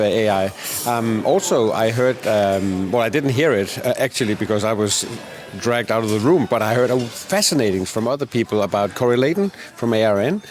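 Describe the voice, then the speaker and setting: A male co-host with a mid-range voice chats casually about an event. He pauses often and fills the gaps with sounds like "uh" and "um", but he sounds keen on what he describes. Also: medium pitch. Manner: hesitant, filler-heavy, enthusiastic. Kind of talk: casual chat.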